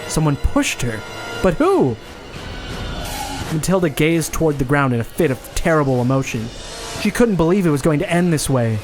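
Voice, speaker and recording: A man with a fairly high voice hosts a comedy podcast. Loud music plays behind him as he speaks. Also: big pitch swings, moderate pace. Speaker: podcast host. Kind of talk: dramatic scripted narration, then casual chat.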